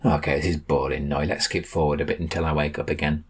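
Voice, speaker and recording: A man voicing a calm, patient, long-suffering storybook sidekick with a dry, measured delivery. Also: a bass voice, breathy edge, voice pushed down, heavily acted. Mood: deadpan.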